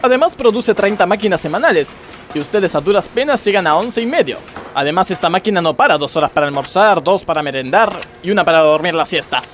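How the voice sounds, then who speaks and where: An actor playing a pompous, stern blind factory boss in a comedic radio play, with an Argentine accent. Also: male voice, high voice, lively intonation, fast, fairly bright tone, a little hiss or hum. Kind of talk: comedic radio theatre acting.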